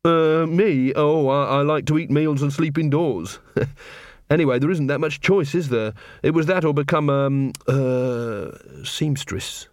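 A sharp young watchwoman with a dry, sardonic edge, her lines lifted well above the reader's usual pitch, as a man acts her out. She keeps her guard up and answers in clipped, wry replies. The sound is close and dry. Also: measured pace, dry recording, close to an everyday voice.

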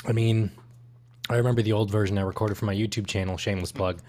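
A man co-hosting a podcast, discussing lore casually in a halting, hesitant way. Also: lowish voice, steady tone, a little mellow. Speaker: podcast co-host.

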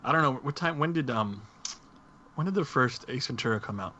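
A man co-hosting a podcast in casual chat, speaking hesitantly and haltingly, with faint noise in the recording. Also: medium pitch, animated, pushed and bright, lo-fi sound. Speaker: podcast co-host.

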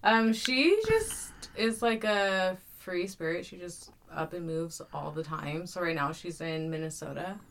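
A woman turning thirty, a guest on a casual podcast, giving short, low-key answers. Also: expressive, measured pace, background noise. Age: 20s. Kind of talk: casual chat.

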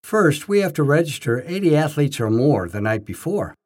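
A man's voice: fairly high pitch, sing-song, moderate pace, darkish tone. A man reading scripted first-person narration, telling his own experiences as a narrator. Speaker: narrator, bodybuilding judge.

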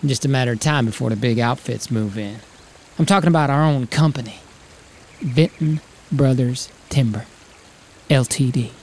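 An actor playing a volatile, ambitious 1890s drifter who talks in folksy slang as he pitches big schemes in an audio drama. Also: moderate pace, long pauses, close to an everyday voice. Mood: eager. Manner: folksy, slangy frontier talk. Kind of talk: acted screenplay reading.

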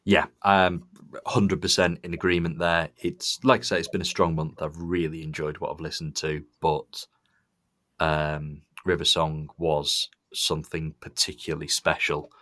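A man with a very low voice hosts a podcast in a casual, conversational way. He sounds enthusiastic as he shares his opinions. The sound is dry, with very little room in it. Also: little pitch movement, a little slow, bright, forceful tone, no room sound. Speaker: podcast host. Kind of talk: casual review chat.

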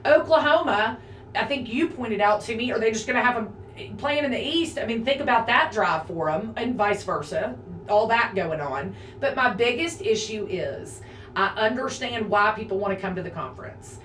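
A woman in her forties argues her point in long, emphatic runs during a casual sports chat. Her voice sits a little high. She sounds slightly off-mic in a roomy space with a faint hiss underneath. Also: expressive, normal speed, a bit bright. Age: 40s. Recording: roomy echo, a bit far from the mic, faint noise.

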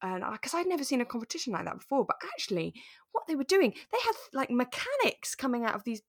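Woman, podcast co-host, casual chat, giggly, expressive melody, dry recording. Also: high voice, fast talker, no room sound. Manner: giggly, animated, gasping enthusiasm. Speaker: co-host of a radio drama review podcast.

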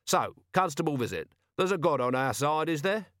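A man's voice: on the high side, expressive, normal speed, a bit bright, no room sound, pitched above the performer's own voice. A man voicing a weary, dry, sardonic watch commander in an audiobook, with understated delivery.

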